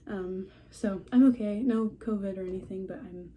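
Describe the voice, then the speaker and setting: A woman's voice: medium pitch, moderate pace, warm tone. A young woman with a cold, sounding stuffy and a little scratchy, chatting casually and rambling from her home in a vlog update. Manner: rambling, chatty, self-deprecating, laughs often. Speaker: horse breeder vlogger. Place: at home among houseplants.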